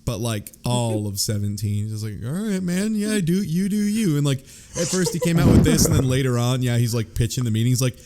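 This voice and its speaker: A man with a mid-pitched voice chats as one of a podcast panel. He talks in a casual, jokey way and tends to riff on ideas bluntly. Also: a little fast, heavy background noise. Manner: animated riffing, profane, jokey. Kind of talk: casual book club discussion.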